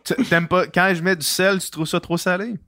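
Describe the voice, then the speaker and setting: A man's voice: sits high, level tone, a little fast, sharp tone, no echo, studio quality. A man with a Québécois accent hosting a podcast, asking questions in a hesitant way, with lots of fillers and restarts. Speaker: podcast host. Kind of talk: casual interview.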